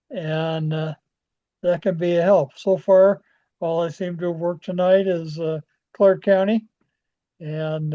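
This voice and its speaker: An American man giving an informal software walkthrough as a club trainer, halting and often trailing off as he rambles along. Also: sits high. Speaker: presenter training radio club members. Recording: dull, lo-fi screen-share audio.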